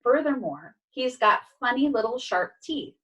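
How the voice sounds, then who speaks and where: A woman's voice: a little high, lively intonation, a little slow, a bit bright, recorded from a distance, voice pushed up, low-quality recording. A woman voicing a bossy, self-important male cat in a storybook, with a commanding, exasperated and insistent manner. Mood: insistent, conspiratorial.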